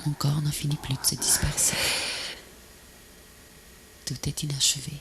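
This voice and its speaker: A woman recites poetry as a voice-over in a solemn, measured, breathy and often half-whispered delivery. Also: deep voice, level tone, fairly bright tone. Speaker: poet narrator of a video poem. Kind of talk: poetic recitation, scripted voice-over. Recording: noisy VHS transfer, ambient noise.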